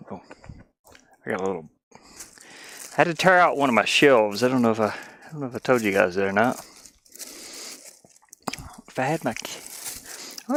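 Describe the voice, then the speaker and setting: A middle-aged person streams in a loose, casual way at a medium pitch. The talk rambles along playfully, with words often repeated for fun. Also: a bit bright. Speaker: game streamer. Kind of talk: casual livestream chat.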